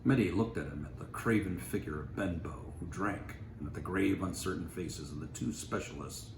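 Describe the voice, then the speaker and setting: Deep-voiced man reading a story. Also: moderate pace. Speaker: story reader. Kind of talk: expressive scripted story narration. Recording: echoey room, faint background noise.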